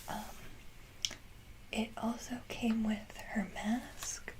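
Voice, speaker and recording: Whispering woman, slow and halting. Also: on the high side, steady tone. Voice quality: whispered, breathy. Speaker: ASMR video creator. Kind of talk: softly whispered ASMR, casual talk to viewers. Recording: clearly noisy, hissy background.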